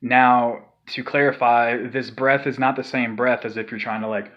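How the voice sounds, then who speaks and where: Guy rambling thru a scream tutorial, middle pitch, kinda dull lo-fi sound. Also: little pitch movement, moderate pace. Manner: rambling, loose, filler-heavy, demonstrates breaths and sighs. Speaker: vocal tutorial maker. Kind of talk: casual spoken tutorial.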